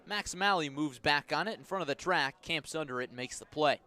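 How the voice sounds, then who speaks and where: Bright-voiced man calling baseball on radio. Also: fairly high pitch, fairly quick. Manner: descriptive calls in clipped phrases. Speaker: baseball play-by-play announcer. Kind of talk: live radio sports commentary.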